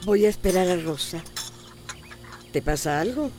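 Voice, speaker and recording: A woman playing a proud, stubborn elderly mother-in-law with a Costa Rican accent, curt and sharp-tongued, in a radio drama. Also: fairly low pitch, expressive, measured pace, background noise. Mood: softened. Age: older.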